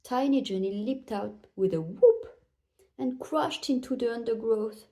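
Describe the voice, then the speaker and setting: A woman reading a children's story aloud in an expressive narrating style. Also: a little high, a little slow. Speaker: children's book reader.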